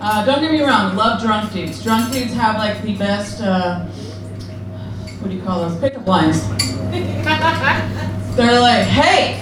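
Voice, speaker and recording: A young woman performing a crude, self-deprecating stand-up set in a deadpan manner. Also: medium pitch, takes it slowly, pauses often. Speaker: stand-up comedian. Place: comedy club or bar stage. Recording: echoey room, distant, noisy.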